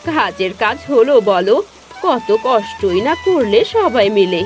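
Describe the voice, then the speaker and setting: A performer voicing a homely village mother in a cartoon, unhurried and gently scolding. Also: medium pitch, sing-song, music in the background, pitched above the performer's own voice. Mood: relieved. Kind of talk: cartoon character dialogue.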